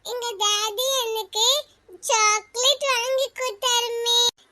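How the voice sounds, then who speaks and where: A woman voicing an excitable, childish little schoolgirl in a cartoon classroom, with a sing-song way of boasting and complaining. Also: very high voice, slow, full character voice. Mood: proudly boasting.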